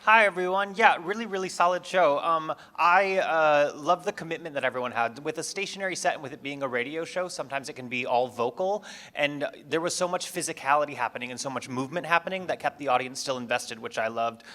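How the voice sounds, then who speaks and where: Man, fairly high pitch, forceful bright tone, effusive, casual adjudicator notes. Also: quick pace. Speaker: festival adjudicator. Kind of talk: casual spoken feedback to performers.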